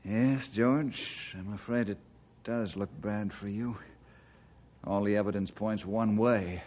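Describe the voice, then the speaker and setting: A man playing a steadfast, fair-minded ranger in an old-time radio western, speaking earnestly and deliberately. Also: on the low side, fairly even intonation, darkish tone. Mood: grave, reassuring.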